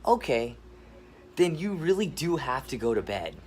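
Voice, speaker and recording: A kind uncle from a bedtime tale speaks gently and coaxingly voiced by a man. His delivery is slow, with a lively, rising and falling pitch. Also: slight background noise. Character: kind, playful grown uncle.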